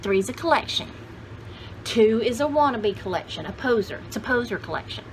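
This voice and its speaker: A woman's voice: fairly high pitch, lively intonation, normal speed, a little hiss or hum, low-quality recording. A woman in her sixties chatting casually as a vlog host, with a folksy, jokey, rambling manner. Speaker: host of a vintage haul video channel. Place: indoor home studio room with shelves.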